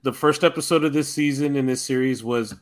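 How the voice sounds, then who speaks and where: A man's voice: mid-range voice, fairly quick, over a noisy crowd. A man on a podcast panel, giving his opinions in casual review chat.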